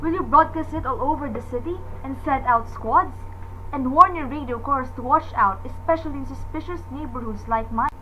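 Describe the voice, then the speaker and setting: A woman's voice: high voice, moderate pace, bright, forceful tone. A woman playing a nervous, demanding bedridden wife in a radio suspense drama, with fretful, melodramatic delivery. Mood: agitated, pleading. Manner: fretful, breathless, melodramatic exclamations. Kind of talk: old-time radio suspense acting. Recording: dull lo-fi audio with hiss.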